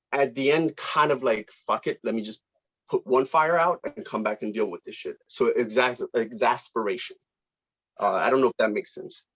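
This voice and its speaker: A man giving informal notes as a director, halting and searching for his words. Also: mid-range voice, fairly bright tone, highs cut off.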